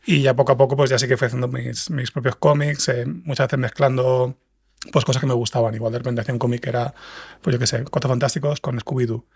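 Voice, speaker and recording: A man from Spain with a Castilian accent and a slightly husky, gravelly voice, telling stories in a casual, rambling interview style as a podcast guest. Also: medium pitch, fairly even intonation, fast talker, a little mellow, no echo, clean studio sound. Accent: Spanish from Spain. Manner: rambling, conversational. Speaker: podcast guest, writer and director.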